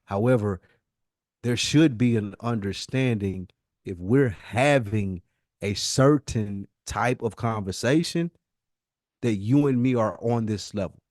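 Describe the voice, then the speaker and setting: A man's voice: on the low side, takes it slowly, a little mellow, no echo. Blunt American man in a dry recording. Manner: blunt, emphatic, repeats himself for emphasis. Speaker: podcast co-host. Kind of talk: casual argumentative podcast chat.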